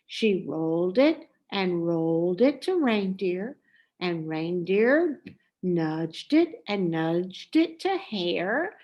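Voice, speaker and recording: Lady reading a kids book, very lively, takes her time. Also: on the low side, lo-fi sound. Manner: deliberate, expressive. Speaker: storybook reader. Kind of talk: expressive children's story narration.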